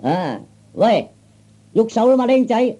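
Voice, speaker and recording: A man's voice: high voice, sing-song, slow pace, dark tone, breathy edge, heavily acted. A man playing a cocky, blustering young street tough with a Cantonese accent, in old radio comedy style. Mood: taunting. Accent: Cantonese, Hong Kong or Guangdong. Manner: blustering, taunting, stammering interjections. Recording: dull old 1960s comedy record.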